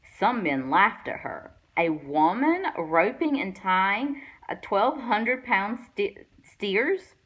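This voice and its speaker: A woman's voice: medium pitch, big pitch swings, takes it slowly, a bit bright, slightly rough, dull audio. Woman reading a children's picture book aloud. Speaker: guest read-aloud reader.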